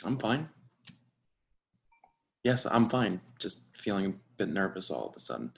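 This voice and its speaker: Man acting nervous, polite professional, lowish voice. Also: sing-song, a little slow, vocal fry, exaggerated voice. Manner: hesitant, guarded, courteous. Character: Daniel, nervous, polite, reserved male professional. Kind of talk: dramatic screenplay table read.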